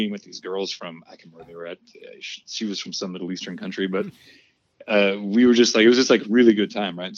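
A man's voice: lowish voice, dry recording, lo-fi sound. A young man with a Californian accent telling a long rambling story in casual podcast chat, full of "like" and "dude". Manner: rambling storyteller, heavy "like" and "dude", laughs often.

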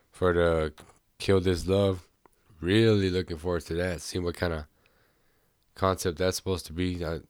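A young man with a very low voice chats loosely about music news while fighting off a cold. He talks easily and rambles, and he clears his throat and laughs lightly now and then. The sound is close and dry, with no room around it. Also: no room sound. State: sick, voice a bit off. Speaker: podcast host.

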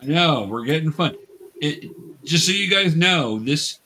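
A man hosting a tabletop game as game master, explaining things patiently and casually in a halting way. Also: medium pitch, fairly bright tone, slight background noise. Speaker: game master and show host. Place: remote video call.

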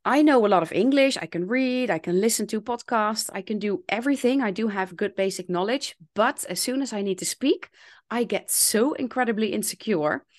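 A woman in her forties with a Dutch accent, talking as a guest on a podcast. She tells her story in long, reflective stretches and voices her own past thoughts aloud. Her voice sits at a middle pitch. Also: moderate pace. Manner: reflective, speaks in long runs with quoted inner thoughts. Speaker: English coach, guest.

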